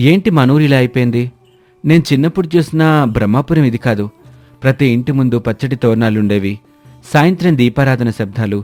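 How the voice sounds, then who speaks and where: A man's voice: medium pitch, a little mellow, lightly acted. Man as earnest, outspoken educated young man. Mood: dismayed. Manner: earnest, preachy. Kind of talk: dramatised moral-story dialogue.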